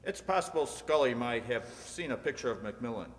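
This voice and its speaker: A man playing a practical, cautious police detective in a radio crime drama, brisk and businesslike, in an echoey hall. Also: medium pitch, expressive, close to an everyday voice. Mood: businesslike, doubtful.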